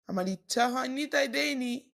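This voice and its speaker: A woman's voice: high voice, moderate pace, a bit bright. A woman voicing a rude, dismissive neighbor boy in a cartoon, speaking curtly. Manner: curt, rude.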